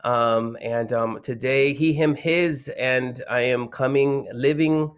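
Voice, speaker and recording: Man, from San Diego, educator, panel guest, halting, many restarts, flat monotone delivery. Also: medium pitch, clear, resonant tone. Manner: halting, many fillers and restarts, warm reflective. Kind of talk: unscripted introduction.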